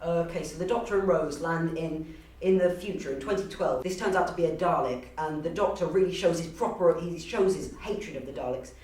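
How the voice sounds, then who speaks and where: A man's voice: fairly high pitch, a little fast, a little mellow. A man speaking as an auditioning actor, recorded in a room. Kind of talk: audition speeches, pitch and casual interview. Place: echoey university room. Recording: echoey room, distant microphone.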